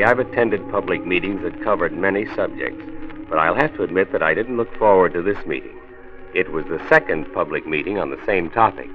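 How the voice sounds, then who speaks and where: A man playing an earnest county health commissioner who narrates a 1940s radio drama in a measured, folksy manner. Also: low-pitched, natural voice. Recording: tinny old radio transcription.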